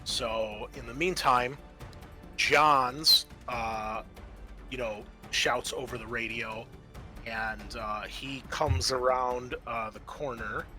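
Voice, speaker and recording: A man narrating as game master of a tabletop roleplaying game, explaining scenes and rulings with thoughtful pauses. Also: medium pitch, fairly even intonation, sharp tone. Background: music.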